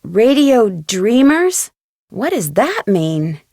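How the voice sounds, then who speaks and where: A woman's voice: a little high, big pitch swings, very slow, darkish tone, no room sound, studio quality, heavily acted. An actress playing a polite, chipper young woman with an earnest, old-fashioned manner in a mystery video game. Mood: puzzled. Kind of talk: scripted video game voice acting.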